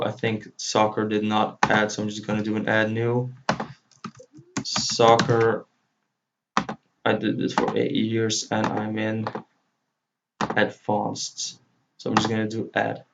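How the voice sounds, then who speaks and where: Low, monotone Dutch man giving a tutorial. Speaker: software tutorial presenter. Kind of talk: informal screen-recorded tutorial walkthrough. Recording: dull lo-fi mic, faint noise. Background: computer keyboard typing and clicking.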